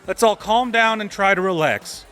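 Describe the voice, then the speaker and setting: A man's voice: high-pitched, moderate pace, pushed and bright, smooth, soft background music, a faint crowd. An actor playing an affable male lawyer in an audio drama, a polite and conciliatory colleague who keeps the peace. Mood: placating. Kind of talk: audio theatre dialogue acting.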